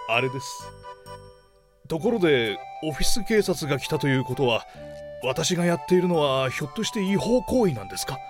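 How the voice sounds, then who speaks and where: Earnest male boss played by an actor, highish voice. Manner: polite, earnest. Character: earnest, polite male office manager. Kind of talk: comic radio drama acting.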